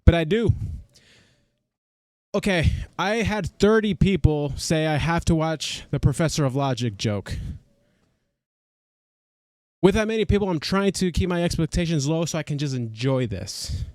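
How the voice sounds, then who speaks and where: A man whose first language is Spanish talks in a chatty, upbeat way, correcting himself as he goes. His voice sits a bit high and sounds smooth and clear. The sound is close and dry, with no room echo. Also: animated, unhurried, pauses often, a little mellow. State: walking on a treadmill. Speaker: reaction video host. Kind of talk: casual reaction vlog chat.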